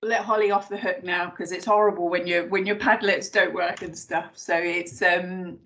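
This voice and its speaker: A woman takes over a video call as presenter, relaxed and reassuring. Her voice sits a little low. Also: normal speed.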